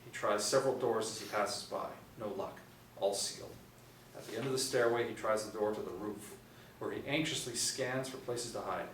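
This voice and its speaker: A man's voice: on the low side, normal speed, a bit bright. A middle-aged man reading scripted stage directions as narrator at a table read. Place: small indoor room, seated reading from tablet. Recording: echoey room, distant mic, faint noise.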